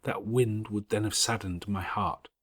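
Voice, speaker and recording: A man's voice: on the low side, fairly even intonation, measured pace, fairly bright tone, husky. A man reading scripted literary narration for an audiobook, with dramatic, careful phrasing.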